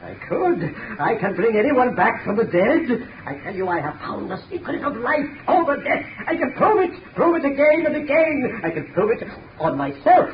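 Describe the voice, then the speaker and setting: Mad scientist, deranged and grandiose, very high swooping voice, cackling, noisy 1930s radio drama. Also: male voice, big pitch swings, moderate pace, lo-fi sound. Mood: manic boasting. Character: deranged, boastful male mad scientist who claims to raise the dead.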